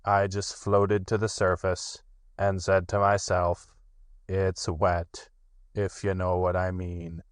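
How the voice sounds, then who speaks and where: A man voicing a gloomy, sulky old male donkey with a slow, heavy, mournful drawl. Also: sits low, completely flat delivery, clean tone, no room sound, clean studio sound. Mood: gloomy, put-upon.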